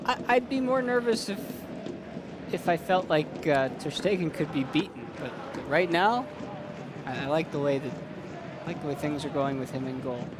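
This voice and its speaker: A man's voice: fairly high pitch, expressive, moderate pace. A man co-hosting a live football watch-along, giving casual opinions on the play. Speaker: co-host of live match-reaction show. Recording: clear background noise.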